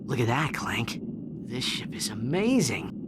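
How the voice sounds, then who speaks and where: An actor playing a brash, boyish, sarcastic young hero in a video game, with a wry, casual manner. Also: male voice, medium pitch, very animated, a little slow. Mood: casual, impressed. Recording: loud background noise.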